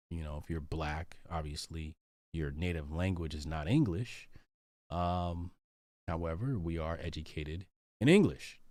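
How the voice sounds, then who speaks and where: A man's voice: deep voice, a little mellow, no room sound, studio quality. An American man reading a book aloud and commenting on it, stopping often for ums, uhs and restarts. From United States.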